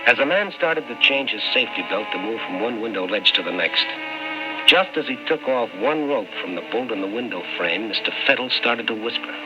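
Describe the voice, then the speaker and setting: A man's voice: medium pitch, lively intonation, fairly quick, music in the background, lightly acted. A male actor playing a skeptical, dogged newspaperman in an old-time radio drama. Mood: tense narrating. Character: skeptical, dogged newspaperman narrating his investigation. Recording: muffled old radio recording.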